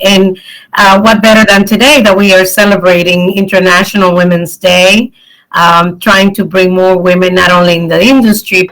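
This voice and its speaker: A middle-aged woman from the Dominican Republic gives an earnest, warm talk as guest speaker at an online event, with many pauses and ums. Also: lowish voice, poor audio.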